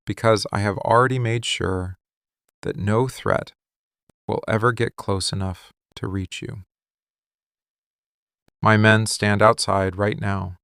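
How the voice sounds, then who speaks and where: A man acting a possessive, menacing mafia boss in love, speaking slowly, deliberately and intimately in an ASMR roleplay. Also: on the low side, creaky, dry recording, studio quality, full character voice. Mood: protective, commanding. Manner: slow, deliberate, intense, hushed confiding delivery. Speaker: ASMR voice actor. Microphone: close studio microphone, dry.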